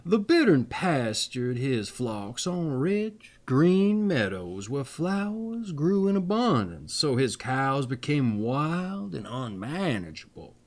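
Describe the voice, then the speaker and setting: A man reading fairy tales aloud as a host, expressive and theatrical, with playful folksy asides. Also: medium pitch. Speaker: storyteller and channel host.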